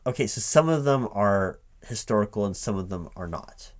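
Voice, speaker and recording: A man playing a recruiting manager in a one-sided spoken interview roleplay, halting and puzzled as he repeats things back as questions. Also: on the low side, expressive.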